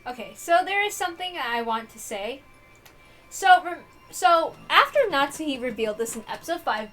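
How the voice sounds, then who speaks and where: A woman hosting a read-along, giving casual commentary in a rambling, halting way that often interrupts itself. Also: sits high, sharp tone. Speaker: host reading a visual novel aloud.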